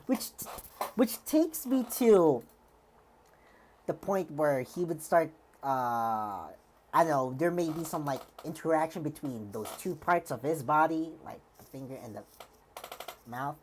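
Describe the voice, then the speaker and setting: A woman with a strikingly deep voice talks through a live stream, hesitant and rambling, full of uh, like and restarts. Her voice sounds a little worn, and a faint hiss sits under it. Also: animated, measured pace, a little mellow. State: slightly worn voice. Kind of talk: live-stream reaction commentary.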